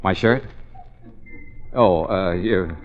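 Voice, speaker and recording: An actor playing a breezy, bluffing, wisecracking private detective in a 1940s radio drama style. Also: male voice, low-pitched, very slow. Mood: flustered bluffing. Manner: breezy, bluffing, stammers when cornered. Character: wisecracking, fast-thinking private detective, flustered under questioning. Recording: muffled old radio broadcast.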